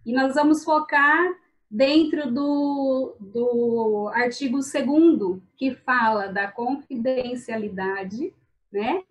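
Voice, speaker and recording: A woman mediator answering as a guest in a deliberate way, often adding "né". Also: on the high side, lo-fi sound. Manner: slow, deliberate, with frequent "né". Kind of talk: interview answers.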